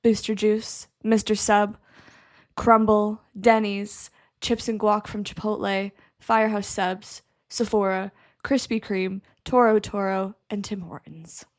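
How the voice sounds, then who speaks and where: A woman joining a podcast as a guest, briskly reading off a list in casual chat. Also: mid-range voice, little pitch movement.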